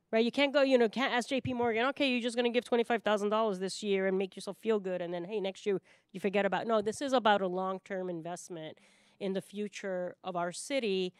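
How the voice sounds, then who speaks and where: A woman from Puerto Rico talks in a panel discussion with an emphatic, impassioned manner. Her pitch is mid-range, and she often punctuates her points with a quick check-in. The sound is close and dry. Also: clean tone, no echo.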